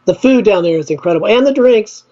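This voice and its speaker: A man's voice: sits high, animated, fast talker, warm tone. Upbeat man on a dull lo-fi line. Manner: upbeat and enthusiastic, laughs easily. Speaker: record label owner, podcast guest. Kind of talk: casual podcast interview.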